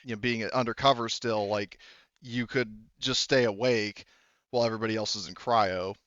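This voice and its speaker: A man acting as game master in casual talk, thinking aloud haltingly and swearing bluntly. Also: lowish voice, fairly even intonation, fairly bright tone, dry recording, highs cut off. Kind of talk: running a tabletop roleplaying game, casual talk.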